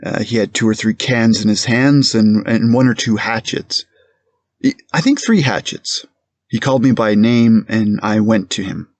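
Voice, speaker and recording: A man's voice: on the low side, moderate pace. A man playing a precise, deferential police captain giving hesitant, formal testimony in a courtroom reenactment. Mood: careful, formal. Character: careful, plain-spoken male police captain testifying as a witness. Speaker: witness.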